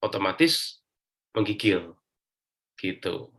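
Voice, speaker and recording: A man with a fairly low voice and an Indonesian accent speaks as an interview guest. He gives his own account casually, explaining it step by step in colloquial speech. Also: big pitch swings, slow pace, a bit bright, slightly rough. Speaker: interview guest giving a personal testimonial. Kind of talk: casual spoken personal account.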